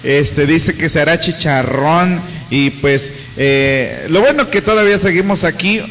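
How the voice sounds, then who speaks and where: Joking radio host with reverb. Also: male voice, a little high, measured pace, slight background noise. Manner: joking, relaxed, with laughs and fillers. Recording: reverberant, faint music behind.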